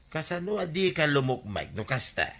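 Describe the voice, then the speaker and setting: A man's voice: on the high side, sing-song, moderate pace, bright, forceful tone, husky, heavily acted. A man playing a scolding, exasperated villager in a radio comedy drama, nagging and complaining.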